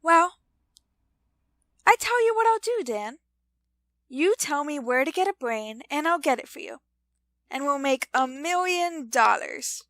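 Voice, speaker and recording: A woman's voice: sits high, expressive, a little slow, pauses often, fairly bright tone, no room sound, studio quality. An actress playing a breezy, teasing woman in a 1940s-style radio drama, with a playful, drawling delivery. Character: breezy, teasing woman humoring an obsessed inventor.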